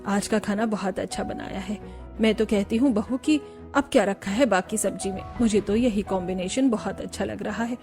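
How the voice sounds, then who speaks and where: A woman voicing an older mother-in-law in a cartoon story, motherly, measured and gently commanding. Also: medium pitch, soft background music, pitched below the performer's own voice, natural voice. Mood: contented. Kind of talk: Hindi cartoon story dialogue.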